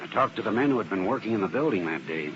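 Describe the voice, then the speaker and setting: A man's voice: lowish voice, animated, rapid-fire, lightly acted. An American actor playing an earnest, methodical male arson specialist in a 1950s radio drama, explaining things with plain-spoken assurance. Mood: assured explaining. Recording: dull old radio recording with heavy noise.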